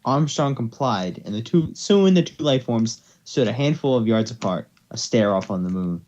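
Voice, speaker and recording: A man's voice: medium pitch, animated, moderate pace, a little mellow, dull audio. A man narrating amateur fan fiction, now and then stumbling over words as he reads. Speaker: story narrator.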